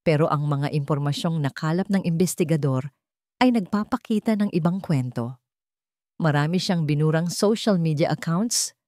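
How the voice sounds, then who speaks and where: A woman's voice: low-pitched, animated, normal speed, darkish tone, no echo, clean studio sound. A woman with a Filipino accent narrating a scripted dramatic story.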